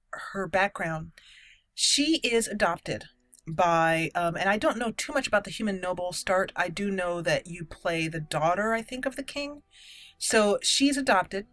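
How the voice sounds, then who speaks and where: A woman's voice: on the low side, a bit bright. A woman giving casual game commentary in a chatty, explanatory manner. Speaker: gaming video host.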